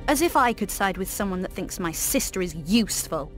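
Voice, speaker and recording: A woman's voice: mid-range voice, lively intonation, fairly quick, soft background music, close to an everyday voice. An actress playing a defiant, clever young woman in a video game, speaking with urgent resolve. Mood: scornful. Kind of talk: video game cutscene acting.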